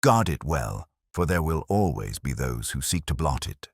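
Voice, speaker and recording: A man plays a brilliant gentleman detective for an audiobook mystery, in a very deep register. He is grave and formal, speaking in measured, oratorical phrases with expressive rises and falls. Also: breathy edge, no echo, studio quality, full character voice. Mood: solemn, reflective.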